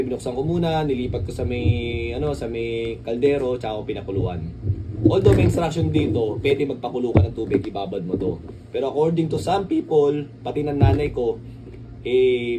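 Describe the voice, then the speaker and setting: A man with a Filipino accent chatting casually through an unboxing vlog, rambling and often checking for agreement. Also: mid-range voice. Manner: rambling, repeats tag questions like asking for agreement. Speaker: vlogger. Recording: clearly noisy, distant microphone.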